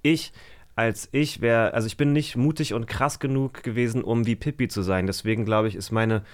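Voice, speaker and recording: A man's voice: mid-range voice, fairly even intonation, normal speed. Male podcast guest, thirties, medium register, casual searching delivery with English slang. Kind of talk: casual chat.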